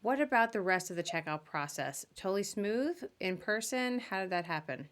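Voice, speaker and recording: A woman's voice: medium pitch, animated, normal speed, a bit bright. A woman hosting a casual podcast interview, chatting informally as she puts questions to a guest. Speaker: podcast host interviewing travel professionals.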